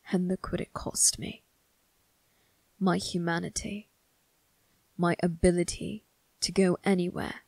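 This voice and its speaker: A woman voicing a cold, condescending male vampire crime boss in an ASMR roleplay, speaking in a husky, gravelly near-whisper with slow, deliberate menace. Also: fairly low pitch, no echo, heavily acted. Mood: bitter. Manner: slow, deliberate, measured taunting. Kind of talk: ASMR roleplay monologue addressed to the listener.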